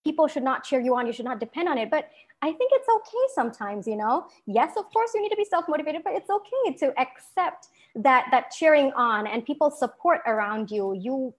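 A young woman from Singapore, a guest entrepreneur in a conversational interview, talking in a lively way with frequent laughs and restarts. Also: on the high side.

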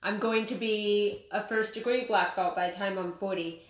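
A woman's voice: mid-range voice, steady tone, moderate pace, distant mic. A woman in her late thirties who teaches martial arts, telling her story in an earnest, candid way as an interview guest. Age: 30s. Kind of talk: interview chat. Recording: roomy sound, voice off-mic.

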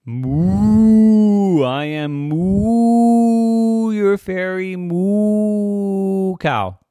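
A storyteller of unclear sex, likely a man, voicing an eager, boastful fairy cow with drawn-out moos and booming exclamations. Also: mellow, clean tone, no echo, clean studio sound. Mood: grand, announcing.

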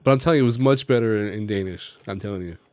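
A man's voice: lowish voice, racing, a little mellow, no echo. A man co-hosting a podcast, chatting casually about a TV series in a rambling, laughing way. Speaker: podcast co-host. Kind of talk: casual chat reviewing a TV series.

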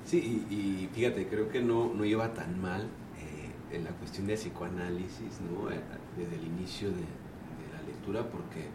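A man asks questions in a loose, halting way, doubling back on his words. His voice sits low. The recording sounds roomy, with a clear rumble of noise behind him. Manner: halting, with many repetitions and tag questions. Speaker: interviewer. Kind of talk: casual conversational interview.

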